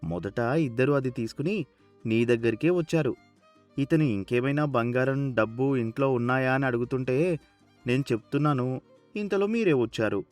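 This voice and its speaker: A man's voice: medium pitch, moderate pace, a little mellow, faint music behind, lightly acted. A man playing a naive, childlike grown son who speaks in an innocent, plaintive way in a dramatized story. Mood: innocent, explaining.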